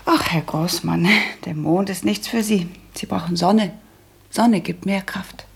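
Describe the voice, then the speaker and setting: An actress playing a prim, practical and resolute young woman in a German radio drama, speaking firmly with a husky, creaky voice. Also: fairly low pitch, measured pace, full character voice. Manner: firm, matter-of-fact.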